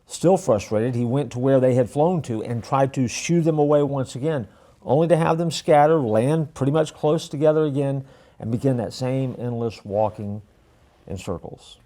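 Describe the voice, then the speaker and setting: A man hosting a church storytelling video, telling a parable in a folksy, self-deprecating way and letting phrase ends trail off softly. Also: medium pitch, normal speed. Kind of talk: casual storytelling with a moral.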